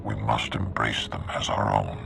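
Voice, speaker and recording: Very deep, gravelly commanding Jedi master. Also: male voice, huge pitch swings, music in the background, heavily acted. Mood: solemn, assured. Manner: formal, measured, oratorical. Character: commanding, serene old Jedi master with stubborn faith. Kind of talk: cinematic video game acting.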